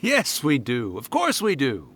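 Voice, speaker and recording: A hammy old yokai spirit. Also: male voice, medium pitch, wildly swooping pitch, measured pace, very noisy. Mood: teasing. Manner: hammy, switches to mock-villain boasting and laughter. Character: theatrical old male yokai spirit author, mischievous. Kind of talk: video game voice acting.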